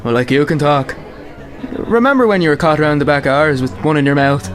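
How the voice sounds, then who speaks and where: A man's voice: on the high side, fairly quick, lightly acted. Wry Northern Irish pal, played by a man. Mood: wry teasing. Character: wry, matter-of-fact Irish man, a teasing old friend. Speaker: actor in a radio play. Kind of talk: radio drama dialogue and monologue.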